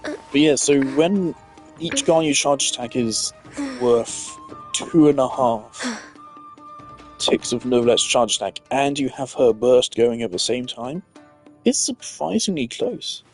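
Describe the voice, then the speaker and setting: A man's voice: medium pitch, lively intonation, takes it slowly, soft background music. A man streaming a video game, giving casual, opinionated commentary full of filler words.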